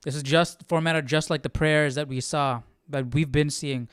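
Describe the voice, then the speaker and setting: A man's voice: a little high, steady tone, moderate pace, a bit bright, clean tone, dry recording, studio quality. A man hosting a Bible study stream, talking casually and reasoning through questions in a rambling, searching way. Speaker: Bible study livestream host. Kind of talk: casual thinking aloud, conversational.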